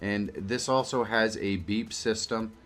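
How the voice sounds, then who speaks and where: A man in his fifties with a fairly low voice presents a how-to video. He explains things directly and casually, like a creator talking to his viewers. Music plays clearly behind him. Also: a little slow, a bit bright. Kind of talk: tutorial narration to camera and screen. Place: small indoor home studio.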